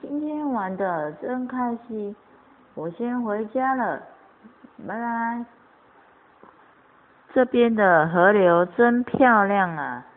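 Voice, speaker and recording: A woman performs an amateur radio play at an unhurried, slow pace. The sound is thin and phone-like, with a faint layer of noise around the voice. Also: fairly high pitch, lively intonation.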